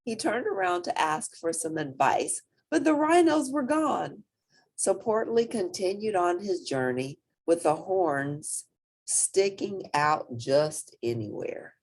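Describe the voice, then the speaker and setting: A woman reads a children's picture book with huge swings of pitch. Her voice is husky and fairly low, and she tells the story slowly with dramatic emphasis. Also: very animated, a little mellow. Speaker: storybook reader. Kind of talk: expressive children's story read-aloud.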